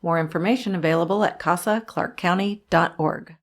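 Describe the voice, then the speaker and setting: A woman's voice: fairly low pitch, a little fast. A woman announcer reading a scripted public service message for radio.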